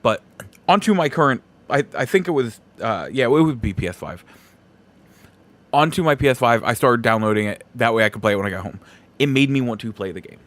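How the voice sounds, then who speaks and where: A man hosting a solo podcast, giving casual commentary in a halting, rambling way and repeating himself for emphasis. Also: medium pitch, lively intonation, a bit bright. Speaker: podcast host.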